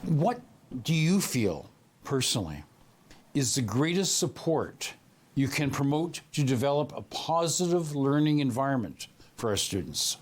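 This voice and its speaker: A man hosting a local election interview, asking questions in a measured, formal way. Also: medium pitch, sing-song. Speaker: host. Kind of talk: broadcast debate interview.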